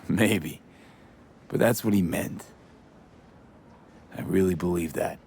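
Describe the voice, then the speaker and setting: Game role: grieving street merc, male, deep, low-key, sincere, terse, slight background noise. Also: takes it slowly, darkish tone. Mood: gentle, concerned. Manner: low-key, clipped sentences dropping first words. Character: guarded young male street mercenary, earnest, subdued, grieving friend. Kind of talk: cinematic video game acting.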